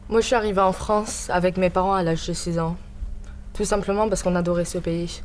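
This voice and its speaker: A woman's voice: on the low side, fairly even intonation, brisk. A young woman reflectively describing her own arrival and integration. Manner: reflective, with restarts. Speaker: student speaking of her own migration. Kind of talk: personal testimony.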